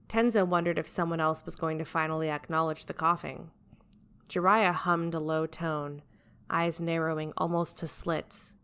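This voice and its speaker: A woman's voice: low-pitched, a bit bright, clear, resonant tone. A woman reading scripted fiction as an audiobook narrator.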